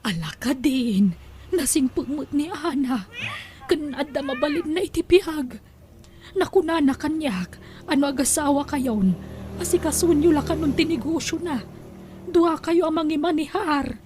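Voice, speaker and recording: A woman's voice: high-pitched, measured pace, noisy background. A woman acting a coaxing, plaintive mother who pushes her son in an audio drama. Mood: pleading. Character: pleading indebted mother pushing her son to marry. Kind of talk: Ilocano radio-style audio drama acting.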